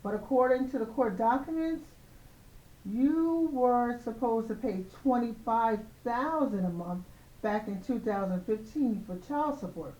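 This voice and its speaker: A slightly hoarse woman hosting a show of casual opinion commentary on celebrity news, speaking in an emphatic, admonishing way. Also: fairly high pitch, measured pace, warm tone. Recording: roomy, echoing, dull lo-fi sound.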